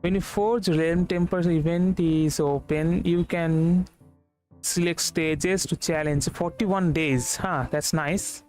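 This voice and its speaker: Slow-talking man streaming, highish voice. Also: steady tone, darkish tone. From India. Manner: muses aloud, hesitant, repeats himself. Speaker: livestreamer. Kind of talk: casual game streaming commentary. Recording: game music behind the voice. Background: game music.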